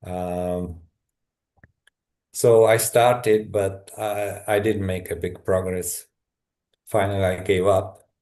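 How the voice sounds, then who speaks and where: A middle-aged man from Czechoslovakia with a Czech accent, a guest telling his story in a halting, thoughtful way with many restarts. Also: low voice, level tone, darkish tone. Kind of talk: personal story in a conversation.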